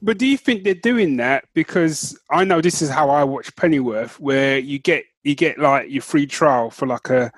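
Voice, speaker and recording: A man's voice: a little high, moderate pace, hardly any pauses, dry recording. A man co-hosting a podcast in casual, geeky banter. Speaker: podcast co-host.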